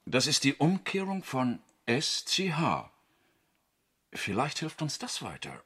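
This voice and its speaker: A man's voice: mid-range voice, wildly swooping pitch, slow, a bit bright, breathy edge, exaggerated voice. A man playing an eager, inventive crew member in a radio drama, talking informally and coaxingly. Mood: inventive, playful. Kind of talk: German radio play acting.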